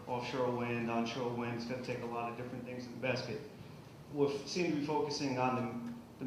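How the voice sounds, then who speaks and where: Man questioning a panel, heavy room echo. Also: medium pitch. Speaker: audience member questioning a panel. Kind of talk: spoken question at a public forum. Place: public meeting hall. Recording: very echoey hall, faint background noise.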